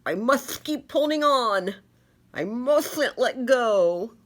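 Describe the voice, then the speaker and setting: A woman voicing a proud, excitable, squabbling male chameleon in a children's storybook, with exaggerated, drawn-out delivery. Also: fairly high pitch, voice pushed up. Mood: straining.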